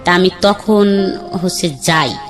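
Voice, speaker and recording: A woman in her thirties with a colloquial Bangladeshi accent tells her own life story in a plain, conversational way. She slips into other people's words as she recalls them. Her voice sits a little low, and faint music plays behind her. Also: steady tone, takes it slowly. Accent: Bangladeshi colloquial Bengali. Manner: plain conversational, acts out quoted dialogue. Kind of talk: candid storytelling.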